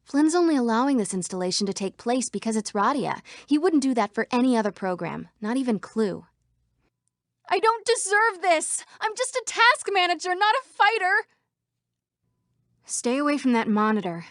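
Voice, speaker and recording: A woman's voice: sits high, big pitch swings, moderate pace, no echo, studio quality. An actress voicing female computer program citizens in a scripted video game. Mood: panicked screaming. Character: female computer program citizens, by turns frightened, defiant or official.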